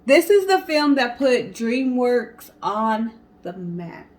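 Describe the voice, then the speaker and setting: A woman with a fairly high voice co-hosts a storytelling show. She talks casually and directly to her listeners, with an animated, informal delivery. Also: slow. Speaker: co-host of a storytelling guessing show. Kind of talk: casual storytelling and chat to viewers.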